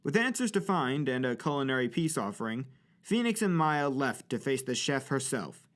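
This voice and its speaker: A man's voice: medium pitch, big pitch swings, moderate pace, a bit bright. A man narrating a scripted story for an audio drama.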